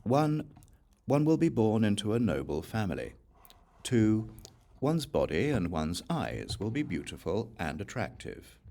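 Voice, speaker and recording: A man reading scripture as an audiobook, in a measured, deliberate way with pauses between points. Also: on the low side, sing-song, creaky, dark tone. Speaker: audiobook reader.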